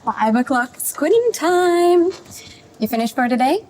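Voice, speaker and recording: An actress playing a friendly, teasing office coworker in an audio drama, chatty and playful. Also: female voice, high voice, sing-song, unhurried, a little mellow, low-quality recording. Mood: breezy, teasing.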